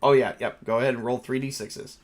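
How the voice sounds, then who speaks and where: A man with an average-pitched voice acts as game master for a tabletop role-playing game. He narrates scenes and talks through rules in a casual, conversational style. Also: steady tone, quick pace.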